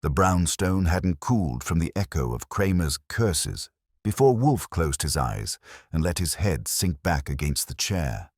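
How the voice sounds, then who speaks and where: A man's voice: low voice, big pitch swings, unhurried, a little mellow, no room sound, clean studio sound. A man reading a scripted first-person detective story as an audiobook narrator.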